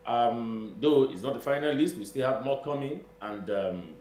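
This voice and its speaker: A man's voice: medium pitch, normal speed, darkish tone. Nigerian man commentating in an echoey room. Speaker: guest political commentator. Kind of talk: spoken political commentary in interview. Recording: roomy echo with noise and hum.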